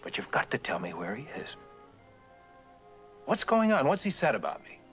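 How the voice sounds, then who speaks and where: An actor playing an anxious, insistent professor in an earnest, pressing manner, in a vintage suspense drama. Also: male voice, medium pitch, wildly swooping pitch, moderate pace, a bit bright, soft background music. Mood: anxious, pleading. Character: insistent, anxious professor seeking an old college friend. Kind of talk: mid-20th-century suspense drama acting.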